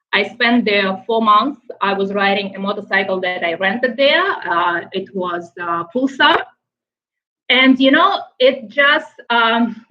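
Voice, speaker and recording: A woman from Ukraine with a Ukrainian accent, chatting casually on a live stream, often pausing with fillers and restarting her sentences. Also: on the high side, lo-fi sound. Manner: halting, many fillers and restarts, laughs often. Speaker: motorcycle traveler hosting a live stream.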